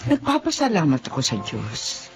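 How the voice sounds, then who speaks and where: A woman's voice: sits low, huge pitch swings, normal speed, loud music behind the voice, heavily acted. An actress playing a sentimental, forgiving elderly mother and youngest sister in a Filipino radio drama. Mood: grateful, reflective.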